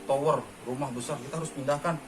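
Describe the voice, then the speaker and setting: A man telling a children's fable in a chatty way, often calling his listeners friends and pausing with fillers. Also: medium pitch, fairly even intonation, far from the mic, rough recording. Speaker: father telling a story. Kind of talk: children's storytelling. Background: music.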